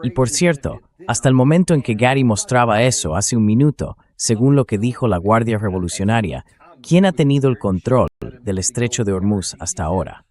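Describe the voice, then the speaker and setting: A man's voice: medium pitch, expressive, normal speed, darkish tone. A man hosting a political commentary show in a dubbed translation read by a synthetic voice, often posing incredulous rhetorical questions.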